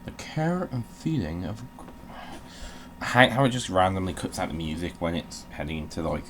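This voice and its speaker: A man's voice: low-pitched, big pitch swings, normal speed, a little hiss or hum. A man giving casual commentary while playing a game, rambling and muttering asides to himself. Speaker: host of a game playthrough channel.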